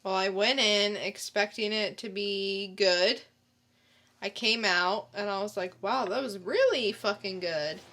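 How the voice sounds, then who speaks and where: A woman's voice: mid-range voice, unhurried, a bit bright. A woman co-hosting a podcast, chatting casually with brief, informal reactions. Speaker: podcast co-host. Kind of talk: casual chat.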